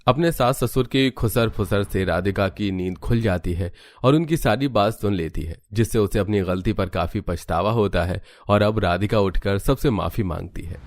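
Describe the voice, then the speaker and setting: A man's voice: on the low side, moderate pace, few pauses, no room sound, studio quality. A man reading a scripted story as its narrator.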